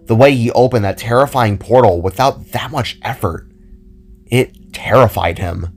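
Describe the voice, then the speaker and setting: A man narrating a scripted fantasy story expressively. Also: mid-range voice.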